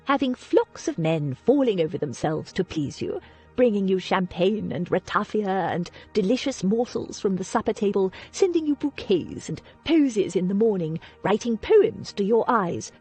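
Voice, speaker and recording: A woman voicing a kind, shrewd elderly aristocratic lady who coaxes in a lively, animated way. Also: moderate pace, slightly rough, faint music behind. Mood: coaxing. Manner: lively, coaxing, high and animated.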